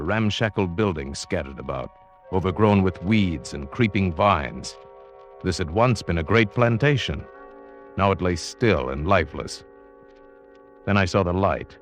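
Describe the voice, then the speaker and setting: A man's low voice with music underneath, playing a brooding yachtsman in a vintage radio adventure. He speaks in measured, literary phrases, heavy with unease and atmosphere. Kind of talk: 1940s-50s radio drama acting, scripted narration.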